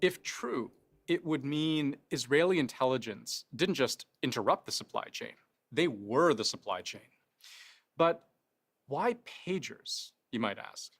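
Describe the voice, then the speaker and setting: A man's voice: medium pitch, lively intonation, measured pace, fairly bright tone, breathy edge. A man hosting a news explainer in a conversational scripted style, posing rhetorical questions and stressing key phrases. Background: faint music.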